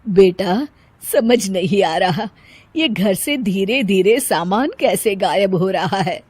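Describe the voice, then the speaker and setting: A woman voicing a patient, concerned family member in a cartoon story, speaking in a measured, earnest way. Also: mid-range voice, animated, a little mellow, husky, dry recording. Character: concerned, patient mother-in-law and gentle forgiving husband. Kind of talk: Hindi cartoon story voice acting.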